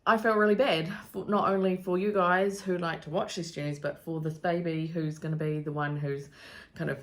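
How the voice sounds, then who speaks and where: A woman's voice: low-pitched, fairly even intonation, normal speed. A woman in her thirties from New Zealand with a New Zealand accent, talking casually to camera in a rambling vlog full of ums and likes. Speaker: vlogger.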